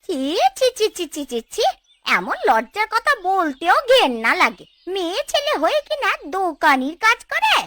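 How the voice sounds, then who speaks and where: A woman's voice: extremely high pitch, sing-song, normal speed, fairly bright tone, no room sound, full character voice. A woman voicing an envious, scheming village gossip in a cartoon, tutting and indignant. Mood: scandalised. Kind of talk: Bengali cartoon dubbing.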